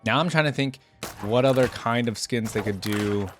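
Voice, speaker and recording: Guy doing a laid-out-loud game reaction, rambly and casual, mid pitch, faint music behind. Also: normal speed. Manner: rambling, thinking aloud. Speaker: reaction video host.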